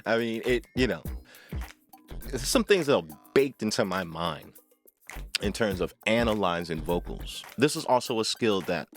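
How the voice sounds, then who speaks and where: A man's voice: lowish voice, lively intonation, measured pace. A man giving an informal music tutorial, talking emphatically, repeating phrases and often checking in with a short questioning tag. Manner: emphatic, repeats phrases, seeks agreement with "right?". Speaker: music tutorial host, talkbox player. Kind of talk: informal instructional talk to camera.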